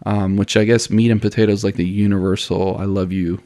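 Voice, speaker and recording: A young man from Miami co-hosts a relaxed podcast chat. His voice sits fairly low, and he talks easily, dropping dude and you know into almost every thought and laughing often. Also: brisk. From Miami, family from Honduras. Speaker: podcast co-host. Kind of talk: casual chat.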